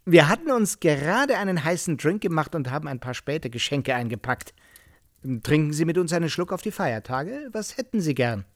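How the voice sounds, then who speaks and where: An actor playing a talkative middle-aged host in a radio play, genial with a needling edge and effusive small talk. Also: male voice, a little high, sing-song, normal speed, no echo, studio quality. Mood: hearty, hospitable. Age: 40s. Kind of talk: naturalistic radio play acting.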